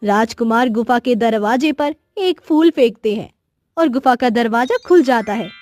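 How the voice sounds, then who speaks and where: A woman's voice: on the high side, expressive, normal speed, a little mellow, no echo, soft background music. A woman narrating a scripted cartoon story as storyteller.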